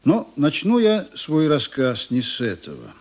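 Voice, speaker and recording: An actor playing a journalist narrator in a radio play, reminiscing in a conversational, musing way with deliberate pauses. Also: male voice, on the high side, wildly swooping pitch, a little mellow, exaggerated voice. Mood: reflective. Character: reminiscing male journalist narrator, unhurried and intimate.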